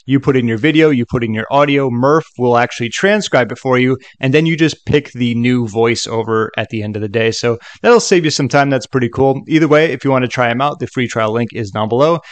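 A man's voice: mid-range voice, a little fast, few pauses, no echo. Casual man narrating a dry-sounding tutorial. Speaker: software tutorial host.

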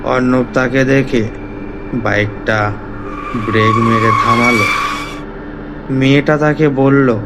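A man's voice: mid-range voice, fairly even intonation, slow, long pauses, very noisy. A man narrating a scripted village ghost story as a horror storyteller.

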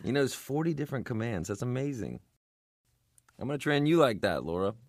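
A husband in a radio drama, teasing yet quick to temper. His voice has an ordinary middle pitch. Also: very animated, no room sound, studio quality, faint music behind. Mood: amused.

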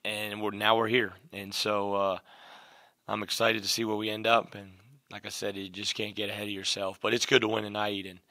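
Low, bright-toned middle-aged coach. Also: male voice, flat delivery, dry recording. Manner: measured, lots of "um" and "you know", repeats phrases. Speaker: head basketball coach. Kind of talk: postgame press conference answers. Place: press room, seated at a table before a logo backdrop. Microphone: desk mic on a stand in front of him.

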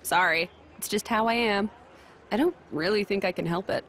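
A woman's voice: mid-range voice, moderate pace, a bit bright, a little hiss or hum, close to an everyday voice. A woman voicing a blunt, sarcastic young warrior woman in a video game cutscene, snappy and unapologetic. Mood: dismissive.

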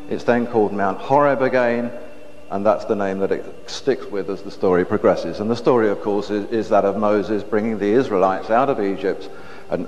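A middle-aged man giving a public lecture on a lapel mic, talking in a measured way with frequent restarts and repeated words. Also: fairly low pitch. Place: stage with curtain backdrop, indoors. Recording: dull lo-fi hall recording with faint hiss.

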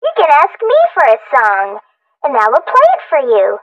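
A woman voicing an energetic little animatronic bunny girl, a cutesy, singsong cartoon daycare host with a menacing side. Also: high voice, moderate pace, dull audio, noisy background, full character voice. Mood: cheerful, sweet. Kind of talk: character voice acting demo lines. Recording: echoey hall, distant from the mic.

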